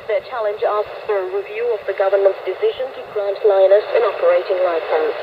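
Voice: mid-range voice, steady tone, a little fast. A radio newsreader delivering a scripted news bulletin. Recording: noisy shortwave radio, narrow phone-like band.

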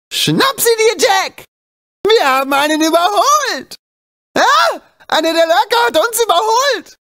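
A man voicing a small, wisecracking, excitable animal sidekick in a video game, with an urgent, cheeky, exclamatory delivery. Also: very high-pitched, full character voice. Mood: alarmed, excited.

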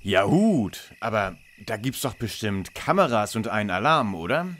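A man's voice: on the low side, big pitch swings, normal speed, a bit bright. A man playing a bossy know-it-all boy detective leader in a parody audio drama, articulate and commanding. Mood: matter-of-fact.

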